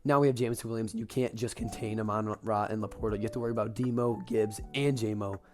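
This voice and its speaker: A young man with a raspy voice, getting over an illness, excitedly recapping a football game as a podcast host, with casual fillers. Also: on the low side, fast, faint music behind. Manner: excited fan talk with fillers.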